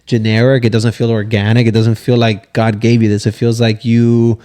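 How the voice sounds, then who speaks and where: A man co-hosting a casual faith podcast, speaking at an ordinary middle pitch. He urges and exhorts his listeners, though his tone stays loose and conversational. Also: level tone, moderate pace, dark tone, clean tone. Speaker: podcast co-host.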